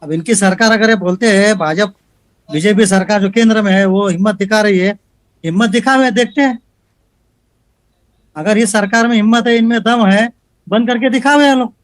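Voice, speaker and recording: A man's voice: high-pitched, normal speed, long pauses, no echo. A man, a lawyer on a TV debate panel, making blunt, challenging arguments and repeating his points. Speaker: advocate and political panelist.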